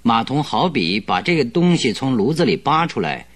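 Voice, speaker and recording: An actor playing a shrewd, self-assured male detective who questions in a commanding, deliberate way in a radio drama. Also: mid-range voice, very animated. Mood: pondering, probing. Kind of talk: Chinese radio drama acting.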